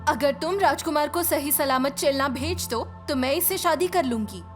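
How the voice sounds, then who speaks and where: A woman's voice: high-pitched, normal speed, long reverb tail, soft background music, close to an everyday voice. A woman voicing a proud, resolute young princess in a cartoon dub, speaking formally and earnestly. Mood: resigned, earnest.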